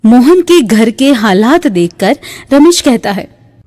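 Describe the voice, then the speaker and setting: A woman's voice: fairly high pitch, big pitch swings, slow, mellow. A woman narrating a scripted moral tale for a cartoon in a storytelling style. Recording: long reverb.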